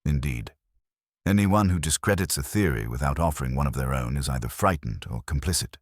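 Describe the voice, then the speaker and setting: A man voicing an imperious, analytical armchair detective in a mystery story, with formal, precise and deliberate phrasing. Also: a bass voice, expressive, no room sound, studio quality. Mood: grave, certain. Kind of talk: audiobook detective mystery dialogue.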